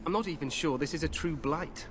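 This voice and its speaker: A man's voice: on the high side, brisk, music in the background, lightly acted. An actor playing a cheerful, overconfident young human king, speaking in a hearty, regal way.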